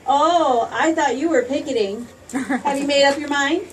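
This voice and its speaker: A woman's voice: high voice, a little slow, background noise. An actress playing a spirited, teasing young small-town woman in an old-time radio play. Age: young adult. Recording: distant stage mic.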